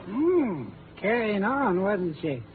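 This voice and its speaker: An actor playing a childlike, menacing old ex-soldier who rambles and repeats himself, in 1950s radio drama style. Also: male voice, high voice, very animated, takes it slowly, crowd noise, heavily acted. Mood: simple, sly. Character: simple-minded, menacing old man, a crazed ex-soldier. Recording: tinny, noisy 1950s radio broadcast.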